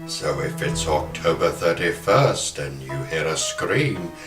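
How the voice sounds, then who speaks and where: A man with a low-pitched, forceful voice hosts a film podcast, narrating with dramatic flair. The room rings around him, and there is some music in the recording. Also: lively intonation, measured pace. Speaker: podcast host. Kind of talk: scripted narration of a film plot and round-table film talk. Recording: roomy echo, faint music.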